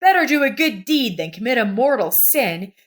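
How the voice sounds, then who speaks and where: Woman acting a bossy, kindly older woman, pitched up. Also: big pitch swings, moderate pace. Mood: urgent, bossy.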